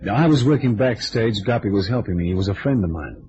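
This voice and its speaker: An actor playing a wry, tough but affable amateur sleuth in an old-time radio drama, with streetwise, hesitant turns of phrase. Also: male voice, on the low side, lively intonation, quick pace, mellow, close to an everyday voice. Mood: persuasive, wry. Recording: dull, lo-fi vintage radio recording.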